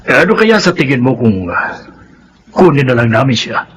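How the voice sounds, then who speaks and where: A man's voice: medium pitch, sing-song, moderate pace, fairly bright tone, slightly rough, exaggerated voice. A man acting a middle-aged, fair-minded father and head of the household in a radio drama. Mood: considerate.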